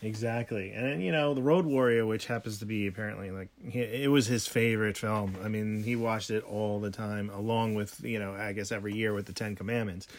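Gravelly low-voiced man, rambling podcast chat. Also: steady tone, a little fast, few pauses. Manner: rambling, many ums and restarts. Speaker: podcast co-host. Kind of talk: casual home podcast chat.

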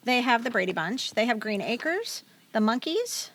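A middle-aged woman co-hosting a podcast, chatting casually in a playful, excitable, expressive way. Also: on the high side, moderate pace, slight background noise. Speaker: podcast co-host. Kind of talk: casual chat, quizzing co-hosts.

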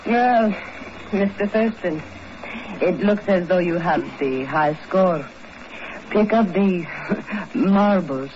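Muffled old radio show, a cool shrewd schemer, slow coy gracious delivery. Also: female voice, fairly low pitch, noisy background. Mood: rueful, poised. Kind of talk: 1950s radio acting.

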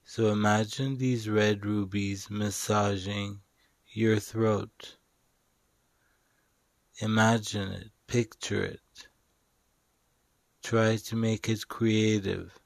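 A man leading a guided meditation in a calm, soothing, instructional manner with long pauses. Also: fairly low pitch, gravelly, dry recording. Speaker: meditation guide and show host.

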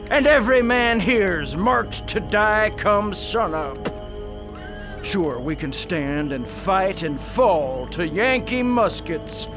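An actor playing a fanatical, ruthless Confederate colonel, delivering grandiose oratory in a radio drama. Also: male voice, high-pitched, exaggerated voice. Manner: grandiose oratory, slow and fervent. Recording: music under the voice.

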